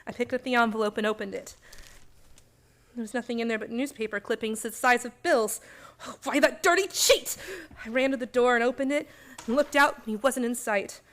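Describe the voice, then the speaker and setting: A wary, hard-edged female schemer in a suspense radio play, acted by a woman. Her voice sits fairly high as she gives orders or tells her own story in the manner of a crime thriller. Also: normal speed, a bit bright. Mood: wary, bitter. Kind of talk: suspense radio drama acting with first-person narration.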